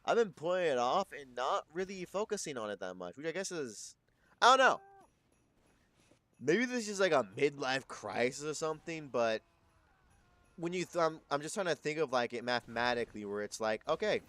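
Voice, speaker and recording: A man's voice: fairly high pitch, sing-song, moderate pace, a bit bright. A man of twenty-two chatting casually on a live stream, rambling and correcting himself, swearing freely.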